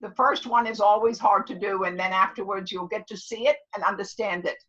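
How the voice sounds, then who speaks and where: A woman's voice: medium pitch, fairly even intonation, brisk, dull audio. A woman of seventy talking casually to a class as their instructor, emphatic and motherly, often repeating herself to check she is understood. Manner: loud, clear talker; motherly pet names for listeners. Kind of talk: casual motivational class talk.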